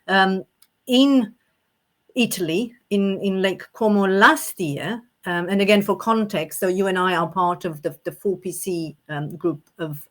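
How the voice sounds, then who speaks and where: A middle-aged woman from Romania hosts a relaxed podcast interview. She talks at a middling pitch in a chatty, playful way, often pausing and restarting to find her words. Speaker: podcast host. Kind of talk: conversational interview.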